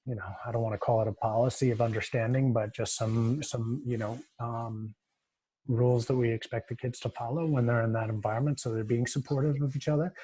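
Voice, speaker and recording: Mellow, husky man moderating a call. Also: mid-range voice, steady tone, brisk, dry recording, low-quality recording. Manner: enthusiastic, encouraging. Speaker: discussion moderator. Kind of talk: video call discussion.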